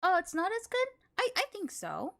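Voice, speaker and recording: A woman live streaming a video game, chatting casually with viewers and thinking aloud in an expressive, exclamatory way. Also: very high voice, normal speed, vocal fry. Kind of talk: casual chat with stream viewers while gaming.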